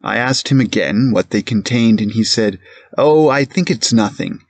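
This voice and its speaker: A man's voice: fairly low pitch, expressive, moderate pace. A man playing a precise, deferential police captain giving hesitant, formal testimony in a courtroom reenactment. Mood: measured, factual. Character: careful, plain-spoken male police captain testifying as a witness. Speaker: witness.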